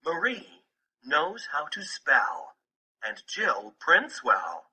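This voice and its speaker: Male picture-book narrator, slow and deliberate, with lively pitch swings. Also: breathy edge. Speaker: audiobook narrator.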